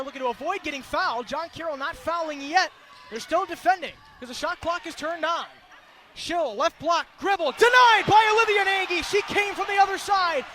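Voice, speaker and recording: High-pitched man calling basketball play-by-play. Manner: energetic, clipped running description of the action. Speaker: play-by-play announcer. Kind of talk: live radio basketball play-by-play commentary. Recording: live gym broadcast, clear background noise. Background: gym ambience with bouncing basketballs.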